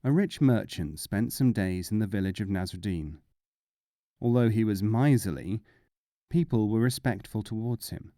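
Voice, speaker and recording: A man's voice: lowish voice, lively intonation, normal speed, mellow, no echo, studio quality. A man narrating short humorous fables as a scripted audiobook reading.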